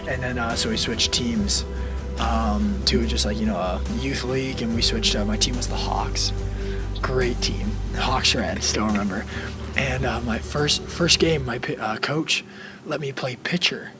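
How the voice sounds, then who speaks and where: A young man from Colorado, a college baseball player, hoarse from a cold, answering interview questions in a rambling, easygoing way with many fillers. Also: medium pitch, steady tone, unhurried, over music. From Colorado, USA. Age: young adult.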